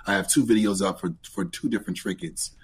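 Raspy low-voiced man telling stories. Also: fairly quick. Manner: relaxed storytelling, lively pitch jumps when excited. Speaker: guest, streamer. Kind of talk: casual interview chat.

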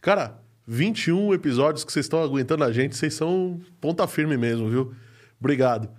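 A man's voice: on the high side, animated, brisk. A Brazilian man hosting a podcast in a casual, informal, joking and slangy way. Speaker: podcast host. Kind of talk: casual chat.

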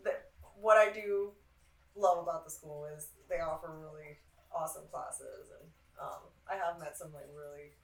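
A woman's voice: deep voice, fairly even intonation, normal speed. Young woman, mid-twenties, giggly rambling chat, echoey room, mic set back. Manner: giggly, rambling with frequent laughs. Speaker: art student guest. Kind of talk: casual interview chat. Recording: roomy sound from a distant mic.

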